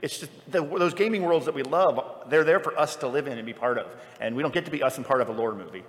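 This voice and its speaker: A man on a live convention panel who jumps in with an earnest, halting point. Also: medium pitch. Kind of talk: casual panel talk before a live audience. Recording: long hall reverb.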